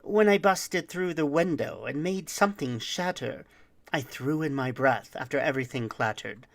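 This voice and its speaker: A performer voicing a boastful, roguish thief who tells a rhyming holiday tale with dramatic, deliberate delivery. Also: medium pitch, vocal fry, natural voice. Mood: sly, excited. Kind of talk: theatrical rhymed storytelling.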